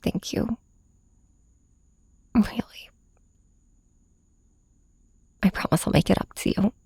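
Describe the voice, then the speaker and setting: A woman playing a weary, heartbroken hero confiding in her nemesis, hesitant and soft-spoken in an intimate ASMR audio drama. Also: lowish voice, dry recording. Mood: pleading, reluctant. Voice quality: breathy, whispery.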